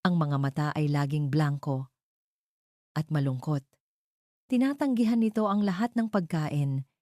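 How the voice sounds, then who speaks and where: A woman with a Filipino accent narrating a scripted love story in a solemn, dramatic way with deliberate pauses. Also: sits low, dark tone, no echo, studio quality.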